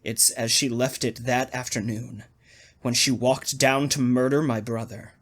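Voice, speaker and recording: An actor playing a deranged, obsessive hotel keeper in a radio thriller, halting and softly insinuating with mock concern. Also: male voice, medium pitch, fairly even intonation. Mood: quietly menacing. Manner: halting, softly insinuating, wide emotional swings. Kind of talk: old-time radio thriller acting.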